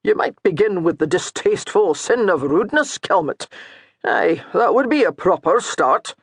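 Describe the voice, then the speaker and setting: A man's voice: high voice, animated, normal speed, breathy edge, dry recording, clean studio sound, exaggerated voice. A man doing a mocking Scottish priest. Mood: scolding. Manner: baiting, stern, mocking. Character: mocking dour Scottish priest, male, middle-aged.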